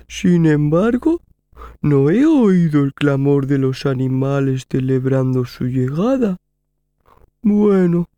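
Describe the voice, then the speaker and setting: A plodding female tortoise acted by a woman from a children's storybook, weary but determined. The voice is mellow and slow, pushing itself along with effort, in a dry, close recording. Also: on the low side, no room sound. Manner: slow, effortful, self-encouraging. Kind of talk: children's audio story acting.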